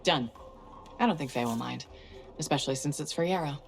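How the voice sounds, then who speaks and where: Wry female adventurer lead, low register, understated, faint music behind. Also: fairly quick, creaky. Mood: wry, playful. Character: wry, level-headed female freelance adventurer hero. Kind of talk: naturalistic video game acting.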